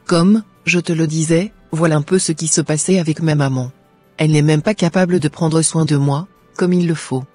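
A woman's voice: low voice, fairly even intonation, normal speed, warm tone, slightly rough, no room sound, faint music behind. A woman voicing a resentful, ungrateful young student daughter in a cartoon, complaining with scorn.

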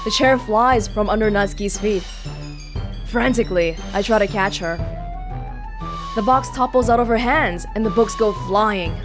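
A woman hosting a let's play, reading a visual novel aloud with playful, expressive casual commentary. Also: medium pitch, moderate pace, over music.

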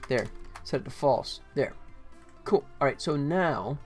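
A man's voice: medium pitch, lively intonation, unhurried, faint music behind. A middle-aged man gives casual live commentary as a game developer, thinking aloud in short fragments. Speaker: game developer livestreaming his work.

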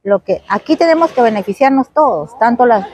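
A woman's voice: fairly high pitch, animated, brisk, darkish tone, a little hiss or hum. A woman with a Peruvian Spanish accent, a traders' leader answering a news interview in an insistent, emphatic way.

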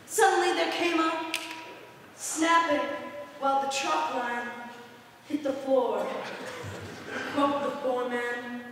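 A woman's voice: high-pitched, fairly even intonation, slow pace, long pauses, noisy background. A woman reciting rhyming verse in a group skit, with a sing-song rhythmic delivery. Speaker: skit performer. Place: large church sanctuary, indoors. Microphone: far camera. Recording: echoey church hall from far off.

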